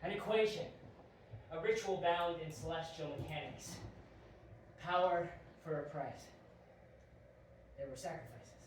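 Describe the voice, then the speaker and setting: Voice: mid-range voice, unhurried, long pauses, natural voice. An actor playing a cryptic, hesitant agent explaining an uncanny mission in an audio drama. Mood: confessing, grave. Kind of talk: audio drama acting, dialogue. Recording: very echoey, distant, faint noise.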